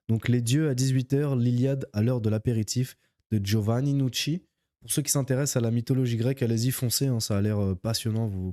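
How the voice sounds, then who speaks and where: Dark-voiced man telling a story casually. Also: medium pitch, dry recording, studio quality. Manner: playful, mock-admiring commentary. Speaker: YouTube storyteller.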